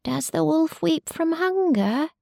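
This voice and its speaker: A woman's voice: very high voice, animated, takes it slowly, creaky, darkish tone, dry recording, studio quality, pitched above the performer's own voice, full character voice. A woman doing tiny fretful baby sparrows. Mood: worried. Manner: anxious questioning. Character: curious worried baby sparrows, tiny and young.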